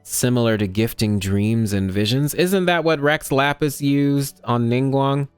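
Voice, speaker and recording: Smooth-voiced, irreverent gamer commentating. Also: medium pitch, measured pace, soft background music. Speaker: gamer reacting to a story quest. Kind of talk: casual playthrough commentary.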